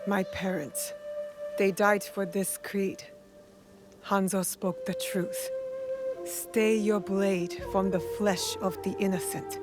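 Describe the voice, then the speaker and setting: A woman's voice: mid-range voice, very animated, takes it slowly, music in the background. An actress playing a determined young female shinobi who speaks tersely and earnestly, over a game score. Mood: grave. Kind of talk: video game cutscene acting.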